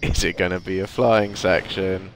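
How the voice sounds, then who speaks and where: A man's voice: low-pitched, steady tone, normal speed. A man with a cold, congested and sniffly, chatting casually through a video game and mumbling to himself. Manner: chatty, self-deprecating, mutters to himself. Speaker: gameplay commentator. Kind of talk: casual let's play commentary. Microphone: desk microphone.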